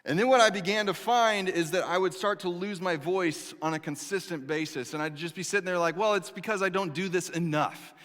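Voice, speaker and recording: A man in his thirties preaching a sermon as pastor, telling a personal story in an animated, conversational way with acted asides. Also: high voice, fairly quick, hardly any pauses, long reverb tail. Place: church sanctuary.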